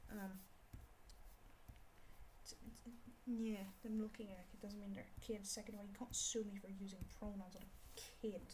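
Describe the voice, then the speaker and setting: A young woman with a Scottish accent chatting casually on an art livestream, rambling and scolding herself out loud in exasperation. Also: medium pitch, level tone, moderate pace, a little hiss or hum, rough recording. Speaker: art streamer. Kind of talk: casual livestream chat while drawing.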